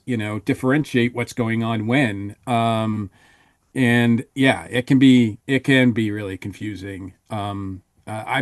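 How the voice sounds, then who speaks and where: Halting man in a dry, close recording. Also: medium pitch, no room sound. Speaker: podcast panelist. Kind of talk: casual review discussion.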